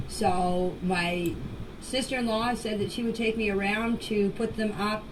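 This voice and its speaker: An older woman speaks with a slightly low voice in an insistent, plain-spoken way, as if pleading her case in a group chat. The amateur recording places her away from the mic, with some faint background noise. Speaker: grandmother answering questions in a group voice chat. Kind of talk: emotional personal statement in voice messages. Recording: distant, noisy amateur voice-message recording.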